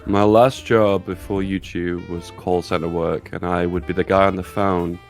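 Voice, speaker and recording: A man's voice: low-pitched, little pitch movement, moderate pace, faint music behind. A man in his thirties chatting casually on a podcast, self-deprecating and chuckling often. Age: 30s. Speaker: podcast co-host.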